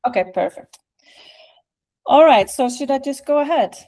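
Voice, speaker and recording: Middle-aged Icelandic female presenter, soft-edged mellow tone, informal online talk with halting delivery. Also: high voice, lively intonation. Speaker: guest speaker, national music office director. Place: video call.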